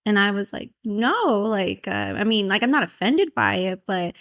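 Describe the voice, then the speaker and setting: A young woman from Mexico chats as a podcast guest, her voice sitting at a middle pitch. She talks loosely and openly, peppering her sentences with "like" and "you know". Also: expressive, normal speed, a bit bright. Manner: chatty, frequent fillers like "you know" and "like". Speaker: guest, shop owner. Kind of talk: casual podcast conversation.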